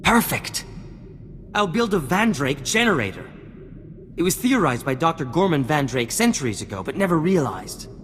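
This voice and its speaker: An actor playing a vain, charming scientist in a video game, talking in grandiose, courtly phrases. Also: male voice, a little high, sing-song, normal speed, a bit bright, long reverb tail. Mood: excited. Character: flamboyant, vain, charming male scientist and self-styled genius. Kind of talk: scripted video game acting. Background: music.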